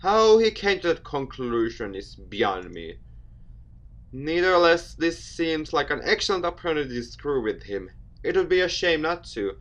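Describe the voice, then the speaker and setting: A man's voice: on the high side, sing-song, moderate pace, a bit bright. A young man hosting a gaming video, reading a visual novel aloud theatrically with casual asides. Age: young adult.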